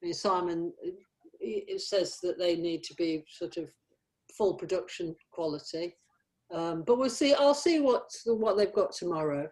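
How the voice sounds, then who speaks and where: Halting woman in casual discussion. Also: fairly low pitch, darkish tone, poor audio. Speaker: writer. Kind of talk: casual meeting chat.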